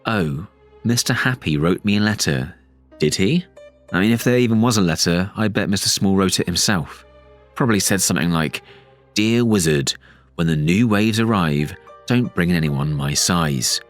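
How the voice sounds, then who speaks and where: Man as a very deep, haughty tall fellow. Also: expressive, moderate pace, faint music behind. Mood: scornful. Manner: scornful, mocking. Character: haughty, dismissive tall male storybook character. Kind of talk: audio drama acting.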